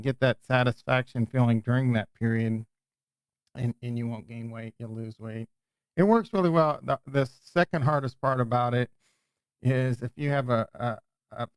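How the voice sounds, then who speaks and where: A man's voice: medium pitch, clean tone, dry recording. A man chatting casually on a live stream, answering viewers' questions in a rambling, self-correcting way. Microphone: new desk microphone.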